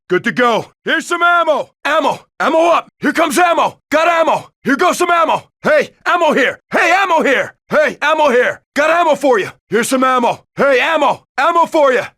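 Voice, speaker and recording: A man's voice: very high voice, bright, forceful tone, no echo, studio quality, exaggerated voice. An actor playing a tough male SWAT police officer in a video game, giving clipped, commanding tactical call-outs. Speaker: video game player-character voice. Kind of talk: scripted tactical barks and radio call-outs.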